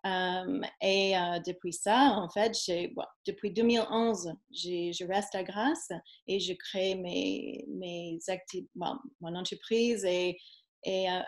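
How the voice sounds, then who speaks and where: A woman's voice: on the low side, steady tone, takes it slowly. A woman in her fifties from Canada, a native English speaker with an English-Canadian accent, speaking French on a video call and often searching for words. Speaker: perfumer and aromatherapist. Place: room at home. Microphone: webcam on a video call.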